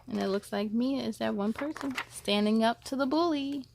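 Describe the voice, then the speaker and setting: High-pitched woman reading stories to kids. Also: normal speed, creaky, a little hiss or hum. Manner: expressive, encouraging, addresses young listeners directly. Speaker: children's story time host. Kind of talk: read-aloud storytelling for children with asides.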